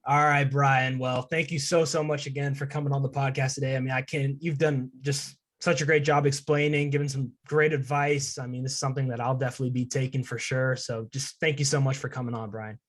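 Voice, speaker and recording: A man's voice: medium pitch, level tone, fairly quick, hardly any pauses. A young man interviewing a guest as a student podcast host, in a casual conversational style. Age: young adult.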